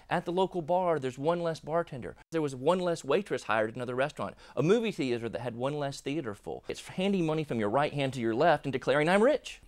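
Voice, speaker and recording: Man in his thirties, economist, rapid talk, slightly high voice, studio lapel mic. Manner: quick, emphatic, explaining. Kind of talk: interview answers. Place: indoor studio set.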